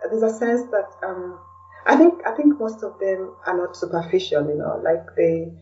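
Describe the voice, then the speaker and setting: A woman from Kenya speaking as a podcast guest in a casual, reflective way, often pausing to search for words. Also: on the low side, mellow. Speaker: interview guest, blogger and podcaster. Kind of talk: casual conversational interview. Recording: distant, dull lo-fi call sound.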